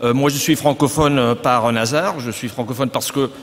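A man in his fifties with a Spanish accent, speaking as a gynecologist guest on a conference panel, frank and often pausing to find his French words. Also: medium pitch, slight background noise. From Spain. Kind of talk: panel discussion. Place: conference stage. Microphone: stand mic at table. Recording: reverberant hall.

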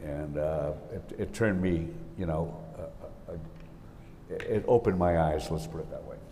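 A deep, dark-toned older man speaks haltingly, with plenty of pauses and fillers. Hall reverb and a faint rumble sit around his voice. He talks like a veteran telling a story in a relaxed way. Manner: halting, folksy. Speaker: panel guest, retired naval officer. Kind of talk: recounting war memories. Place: large auditorium stage.

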